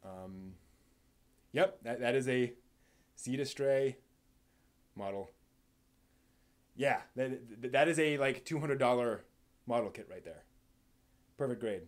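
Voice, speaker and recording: A man hosting a live stream, giving casual unscripted commentary in a halting, rambling way that repeats words and trails off. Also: medium pitch. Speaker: live stream host and anime reviewer.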